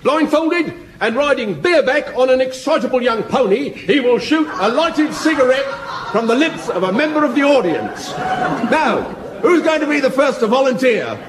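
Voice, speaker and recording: An actor playing a pompous variety-show compere in a vintage radio comedy. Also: male voice, very high-pitched, big pitch swings, moderate pace, a faint crowd, a little hiss or hum. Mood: grand. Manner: grand, pompous announcing.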